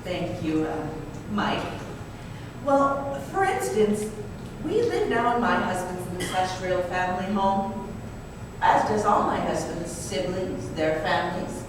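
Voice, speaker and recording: A woman's voice: lowish voice, lively intonation, slow pace. A woman playing a naive, anxious, wealthy young wife who confides hesitantly in an old-time radio drama. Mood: puzzled, earnest. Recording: noisy, echoey hall from far off.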